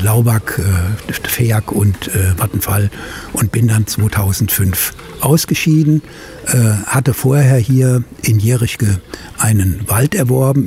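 An older man with a Rhineland German accent, a winery owner answering interview questions haltingly, with many filler sounds, outdoors at a vineyard. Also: lowish voice, warm tone, a little hiss or hum. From Middle Rhine, Germany.

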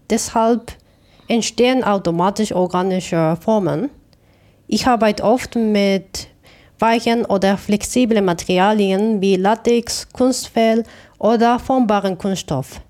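A woman from Japan with a Japanese accent, a native Japanese speaker, answering interview questions as an artist in a thoughtful, halting way with self-corrections. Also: mid-range voice, a little mellow. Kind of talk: interview answers, reflective explanation.

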